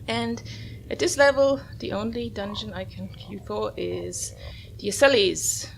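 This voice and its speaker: A woman's voice: mid-range voice, vocal fry, slight background noise. A woman hosting a gameplay video, giving casual commentary as she plays. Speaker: gamer, host of the video series. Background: soft game music.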